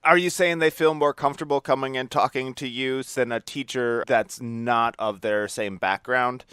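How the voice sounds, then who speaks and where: A man's voice: medium pitch, steady tone, moderate pace, fairly bright tone, clear, resonant tone, no room sound, clean studio sound. An American man hosting a casual interview, speaking in a tentative, self-deprecating way. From United States. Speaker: podcast host.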